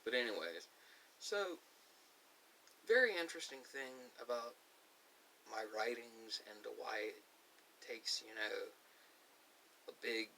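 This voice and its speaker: An American man giving a rambling monologue to camera as a video host, often pausing mid-sentence in a halting way. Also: mid-range voice, expressive, sharp tone. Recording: hissy, distant microphone.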